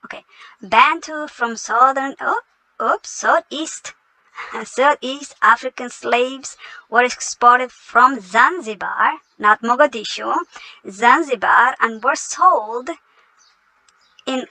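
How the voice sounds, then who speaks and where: Host woman, high bright voice, dry close sound, scornful reaction commentary. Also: slow pace, no echo, low-quality recording. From Somalia. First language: not English. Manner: indignant, mocking. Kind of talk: reacting to a clip.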